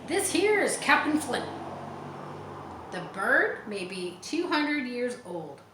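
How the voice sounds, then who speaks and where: A seasoned pirate cook acted out by a woman tells boastful yarns in a children's storybook style. The delivery is expressive, in a roomy, echoing space with faint noise. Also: fairly high pitch, slow, distant mic. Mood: proud, introducing. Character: Long John, seasoned one-legged pirate ship's cook, boastful storyteller.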